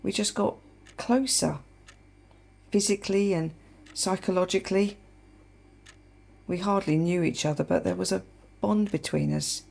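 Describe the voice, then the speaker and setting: An actress playing a wry, sharp, defiant woman in a naturalistic radio play. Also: lowish voice, measured pace, vocal fry, long pauses. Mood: anguished confession. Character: adult woman, wry, sharp, defiant, confessing to her partner. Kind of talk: naturalistic radio play acting, dialogue and monologue.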